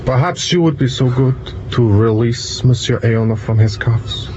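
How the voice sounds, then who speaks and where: A man playing a polite, precise young gentleman detective in an audio drama, courteous and measured. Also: medium pitch, close to an everyday voice. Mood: earnest, explaining. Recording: muffled, noisy vehicle ambience behind.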